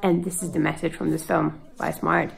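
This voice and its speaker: Woman, 30s, low gravelly voice, earnest, small slips in English, webcam, faint music behind. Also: little pitch movement, normal speed, background noise. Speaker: film director. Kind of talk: video call interview. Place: home room indoors.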